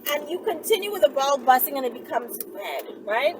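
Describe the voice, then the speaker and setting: A woman with a fairly high voice hosts a casual, chatty vlog. She is informal and sassy, leaning on emphasis and repetition. The mic sits at a distance, and heavy vehicle-like noise runs behind her. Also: sing-song, a little fast. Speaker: online host answering viewer questions.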